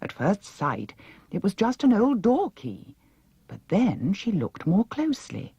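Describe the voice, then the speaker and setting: Expressive British woman narrating, dry studio sound. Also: medium pitch, a little slow, husky, no room sound, muffled recording. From United Kingdom. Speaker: audiobook narrator. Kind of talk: expressive scripted children's story narration.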